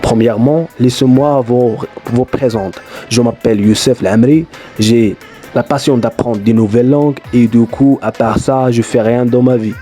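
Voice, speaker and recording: This is a Moroccan man with a Moroccan accent, Darija-born, who speaks in a soft, darkish tone. He tells his stories in a chatty, rambling way, with fillers and easygoing non-native phrasing. Quiet music sits faintly behind his voice. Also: medium pitch, fairly even intonation, normal speed. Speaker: YouTuber. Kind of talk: casual vlog storytelling.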